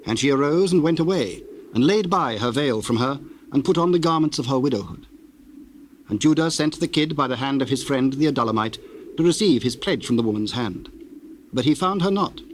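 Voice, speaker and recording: A man's voice: mid-range voice, lively intonation, brisk. A man narrating a dramatized scripture reading in a solemn, storytelling style. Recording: hissy old cassette tape, birdsong behind.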